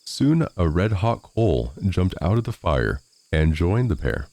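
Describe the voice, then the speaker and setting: A man narrates a storybook tale with a lively, swinging intonation. His voice is mellow and a little gravelly. He reads expressively, as a storyteller does. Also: low voice, unhurried, no echo. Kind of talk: scripted fairy tale narration.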